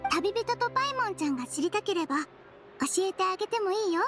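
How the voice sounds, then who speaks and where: A woman voicing a gentle little fairy-like nurse in an anime game, speaking in a soft, composed, girlish way. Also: very high voice, animated, fast. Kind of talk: anime game dialogue and fairy-tale storytelling. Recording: music behind the voice.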